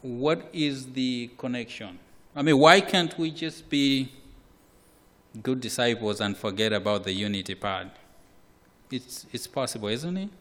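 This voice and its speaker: A man from Malawi, preaching a sermon in a slow, measured way with repeated words. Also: medium pitch. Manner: slow, measured, with repetitions and occasional soft laughs. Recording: hall reverb.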